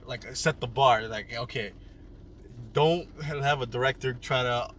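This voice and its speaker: A man chatting casually as a co-reviewer, in a halting, understated way. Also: medium pitch, a bit bright. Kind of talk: casual chat. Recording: noisy ambience with car sounds. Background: car and vehicle noise.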